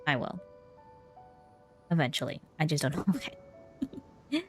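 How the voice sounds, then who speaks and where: A woman's voice: low voice, a little slow. A woman doing casual livestream commentary as a game streamer, reacting in an informal, rambling way. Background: game music.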